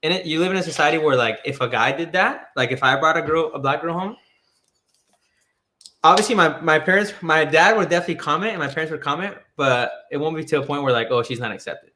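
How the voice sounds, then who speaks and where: Bright-voiced Colombian-born man chatting loosely. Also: fairly high pitch, fast. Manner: loose, rambling, full of "like" and restarts. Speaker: guest. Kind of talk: casual discussion.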